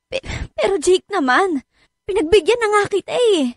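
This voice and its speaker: A woman's voice: extremely high pitch, expressive, normal speed, dry recording. An actress with a Filipino accent playing a weary, reproachful working wife and mother in a radio drama. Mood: pleading, upset. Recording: dry studio with music underneath.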